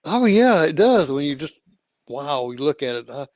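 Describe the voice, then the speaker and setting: An older man hosting an interview show, chatting casually and halting with fillers and restarts. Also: fairly high pitch, big pitch swings, darkish tone, dry recording. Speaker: talk show host.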